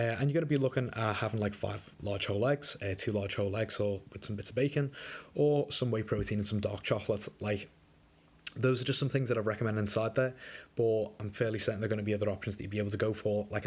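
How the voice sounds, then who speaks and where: A man's voice: on the low side, breathy edge. A young man coaching a client on a diet plan in a casual, chatty way, sounding a bit wheezy from a coming flu. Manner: laid-back, chatty, lots of fillers. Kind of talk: casual screen-recorded walkthrough. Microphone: screen recording.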